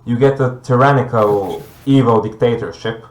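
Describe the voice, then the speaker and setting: A man from Bulgaria, debating conversationally as a guest and haltingly searching for words. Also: mid-range voice. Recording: echoey room sound.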